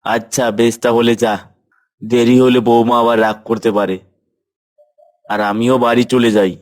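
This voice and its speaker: Earnest village father, mid-pitched played by an actor. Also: little pitch movement, unhurried, darkish tone, close to an everyday voice. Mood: friendly. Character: hardworking village woodcutter, a worried, plain-spoken father. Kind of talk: cartoon voice acting.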